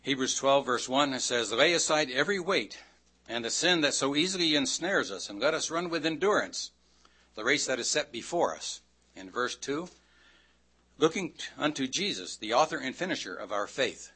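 A man preaching a sermon in a bright, forceful voice at a middling pitch. His delivery is folksy and loose. He drops dry, self-deprecating asides and slows down to read scripture. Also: animated, breathy edge. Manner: reads scripture aloud slowly.

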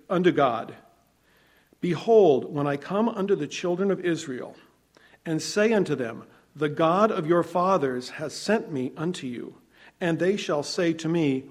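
Guy giving a bible sermon, kinda high voice, gets loud then drops quiet, chatty. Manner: conversational, reads scripture aloud, swings between loud emphasis and quiet asides. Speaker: preacher.

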